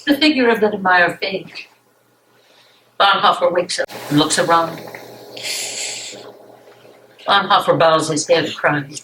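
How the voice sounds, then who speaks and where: A woman's voice: on the low side, normal speed, a bit bright, far from the mic. A woman reading stage directions as narrator, in scripted narration recorded in an echoey room. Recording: echoey room with faint noise.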